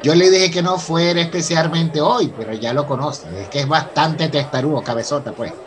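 A man's voice: on the high side, normal speed, lightly acted. A man playing a chatty, gossipy character with a Venezuelan accent in a radio soap opera. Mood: gossipy, amused. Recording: busy crowd background.

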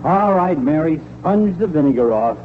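A man's voice: on the high side, lively intonation, moderate pace, natural voice. An actor playing a flustered, put-upon middle-aged father and husband in a sputtering, exclamatory 1940s radio comedy style. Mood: grudging resignation. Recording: scratchy, tinny 1940s radio broadcast.

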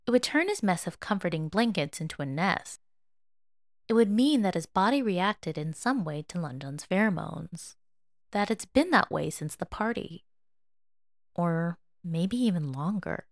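Female narrator for audio fiction; lively melodic read, very dry recording. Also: fairly low pitch, unhurried, dry recording. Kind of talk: dramatic story narration.

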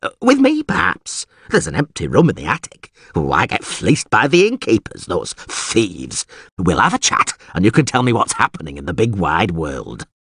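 A man's voice: low-pitched, huge pitch swings, moderate pace, fairly bright tone, slightly rough, no echo, clean studio sound, full character voice. A man voicing a podgy, hot-tempered, blustering small-town alderman in an audiobook, full of exclamations and hesitations. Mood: blustering, chatty. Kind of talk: audiobook character acting.

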